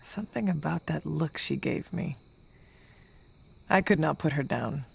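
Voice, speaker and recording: A woman playing an earnest, solemn warrior heroine in a video game, speaking in a formal, measured way. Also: low-pitched, vocal fry, slightly rough, long reverb tail, exaggerated voice. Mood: reflective. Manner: formal, measured, avoids contractions. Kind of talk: video game character acting.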